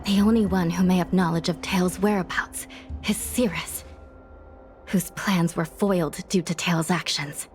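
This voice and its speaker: A woman playing a serious, level-headed investigator in a video game, speaking in a formal, measured, resolute way. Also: on the low side, fairly even intonation, a little mellow, soft background music, natural voice. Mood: deducing.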